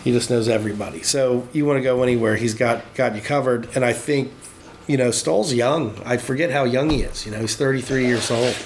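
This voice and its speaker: A man in his forties shoots the breeze in a middle-pitched voice. He rambles, restarts sentences and swears freely. A faint noise runs underneath. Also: brisk. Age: 40s. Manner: rambling, profane, many restarts. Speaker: tattoo shop worker. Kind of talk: casual chat.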